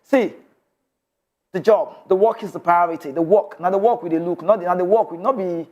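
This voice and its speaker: High-voiced Nigerian sports host. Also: male voice, fairly quick. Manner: passionate, mixes in Nigerian Pidgin. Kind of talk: sports news reading and opinionated commentary.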